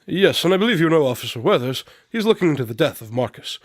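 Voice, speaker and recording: A man playing a shrewd, unflappable detective in a radio drama, measured and knowing as he confronts a suspect. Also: on the high side, very animated, no room sound, studio quality. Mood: cool, probing. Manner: measured, knowing, coolly accusatory. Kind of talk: amateur radio drama acting.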